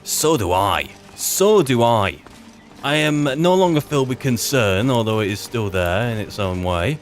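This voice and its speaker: A man's voice: mid-range voice, animated, a little slow. Wry man roleplaying over game music. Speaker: roleplay video maker and player. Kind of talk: in-character improvised game roleplay commentary.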